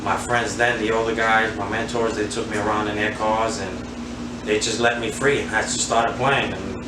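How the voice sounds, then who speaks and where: New man, flat and roomy. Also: lowish voice, moderate pace, recorded from a distance. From Queens, New York. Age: 40s. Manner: relaxed, rambling, many fillers. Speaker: interview guest, handball player. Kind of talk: casual interview, reminiscing. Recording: roomy, off-mic, noisy.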